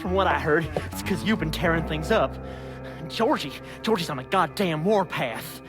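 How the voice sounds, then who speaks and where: A man's voice: a little high, expressive, normal speed, natural voice. Man playing a cowardly small-time criminal, nervous, stuttering, pleading, game cutscene with music underneath. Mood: nervous informing. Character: frightened, cowardly low-level Southern criminal begging for mercy.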